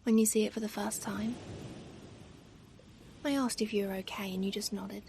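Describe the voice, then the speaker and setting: A woman playing a tender, doting mother in an ASMR roleplay, speaking softly and affectionately, sometimes nearly whispering. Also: mid-range voice, normal speed, lightly acted. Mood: gentle, reminiscing. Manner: soft, affectionate, gently murmuring, sometimes near whisper. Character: tender, doting, playfully self-deprecating mother comforting her pet. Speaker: ASMR performer. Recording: steady ambient noise, ocean waves. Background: ocean waves ambience.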